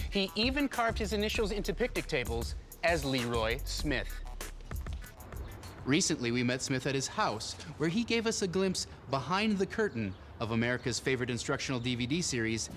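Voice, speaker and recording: A man playing an earnest newsmagazine reporter in a spoof, narrating formally and with a straight face. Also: mid-range voice. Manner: formal, measured, deadpan. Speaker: reporter and interviewer. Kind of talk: scripted news narration.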